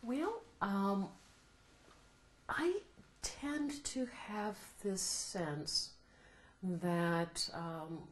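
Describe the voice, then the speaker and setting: A middle-aged American woman, a law professor, answering interview questions in a thoughtful, measured way. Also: medium pitch. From United States. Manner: thoughtful, measured, laughs often. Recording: roomy echo, faint noise.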